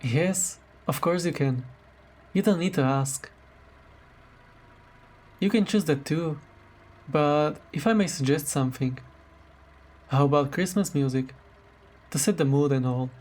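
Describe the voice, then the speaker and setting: A man playing a tender, caring boyfriend in a romantic roleplay audio drama, speaking gently and affectionately. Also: a little high, measured pace, pauses often. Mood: affectionate, relaxed. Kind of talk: romantic roleplay audio drama, intimate one-sided dialogue.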